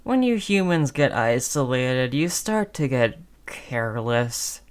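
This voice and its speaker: Woman playing a low-voiced, slow-talking chummy zombie. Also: very animated, smooth, full character voice. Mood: sly, confessing. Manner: falsely chummy, coaxing, conversational with hesitations. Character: friendly-acting female zombie coaxing prey, sly and chummy. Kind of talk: audio roleplay acting.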